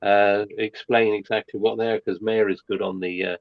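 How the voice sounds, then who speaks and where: A middle-aged man on a live chat, talking in a halting way with many pauses and fillers. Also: on the low side, level tone, vocal fry, no room sound. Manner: hesitant, fills pauses with "uh". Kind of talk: casual chat on a live stream. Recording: dull, lo-fi remote mic.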